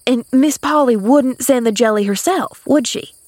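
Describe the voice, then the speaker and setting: Woman voicing an excitable, gossipy rustic housemaid, high-pitched. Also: moderate pace, no echo, clean studio sound, lightly acted. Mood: excited. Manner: dramatic, folksy, ungrammatical dialect, repeats herself. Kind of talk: audiobook character voice.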